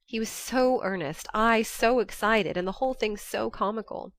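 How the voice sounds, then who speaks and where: A woman reading scripted first-person literary narration for an audiobook, telling it in a measured, expressive way. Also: fairly high pitch, dry recording.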